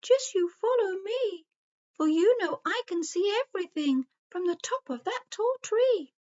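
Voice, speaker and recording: A woman's voice: extremely high pitch, expressive, unhurried, a little mellow, muffled recording, pitched above the performer's own voice. A woman voicing a wise old male owl in a children's storybook, reassuring and earnest, with a singsong rhyming delivery. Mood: encouraging.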